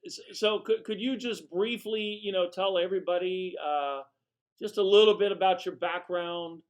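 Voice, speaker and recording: Guy hosting the interview, kinda higher voice, lots of you know and uh. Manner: halting questions with many fillers. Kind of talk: interview questions.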